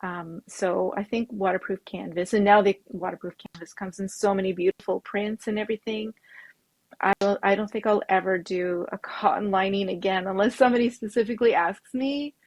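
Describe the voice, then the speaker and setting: A woman whose first language is French, a pattern designer from Canada, talking frankly in an interview with many pauses and ums. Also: mid-range voice, steady tone, dry recording, rough recording. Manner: frank, halting with frequent ums. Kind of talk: casual interview chat.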